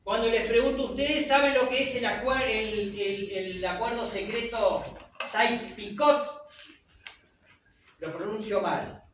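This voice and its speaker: A man with a high voice speaks slowly through an echoey room, heard from some distance off with a little faint noise behind him. His first language is French. He lectures an audience in a conversational way, full of rhetorical questions. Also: a bit bright. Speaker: speaker at a public talk.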